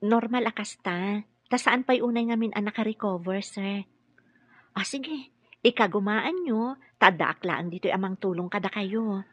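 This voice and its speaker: Bright, husky, dutiful nurse. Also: female voice, mid-range voice, a little slow, dry recording. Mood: gentle, earnest. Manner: respectful, earnest. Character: dutiful, deferential nurse and caregiver, a young mother. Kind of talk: radio drama acting.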